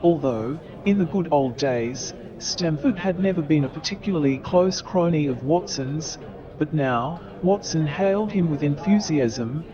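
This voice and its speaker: A man narrating a scripted story as in a staged radio play, with dramatic, deliberate phrasing. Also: fairly high pitch, expressive, dull audio. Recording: crowd sound behind the voice.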